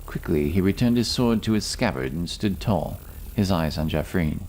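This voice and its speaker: A man narrating a fantasy story in a measured, dramatic scripted style. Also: deep voice, animated, darkish tone, a little hiss or hum. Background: music.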